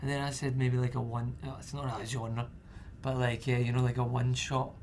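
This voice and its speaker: A man's voice: medium pitch, fairly quick, a crowd in the background. Chatty young man. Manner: chatty, relaxed, many fillers. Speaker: actor guest. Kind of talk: casual roundtable chat.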